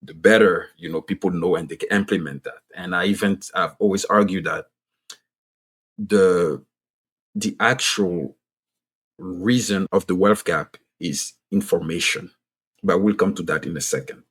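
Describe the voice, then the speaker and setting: A man's voice: low-pitched, measured pace, a bit bright, husky. A man from Ivory Coast with a French-speaking Ivorian accent, French his first language, speaking as a podcast guest in an earnest way that restarts phrases for emphasis. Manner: earnest, repeats and restarts phrases to stress a point. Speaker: guest economist and author. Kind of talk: podcast interview.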